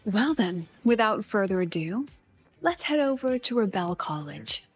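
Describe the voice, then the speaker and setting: Slightly breathy, composed woman guide. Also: mid-range voice, big pitch swings, a little slow, lo-fi sound. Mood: composed, brisk. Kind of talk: video game dialogue. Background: game music.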